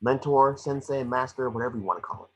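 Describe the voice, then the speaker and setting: A man's voice: medium pitch, quick pace, natural voice, poor audio. A man playing a small, cocky, wisecracking creature detective, snarky and bossy, in an amateur script reading.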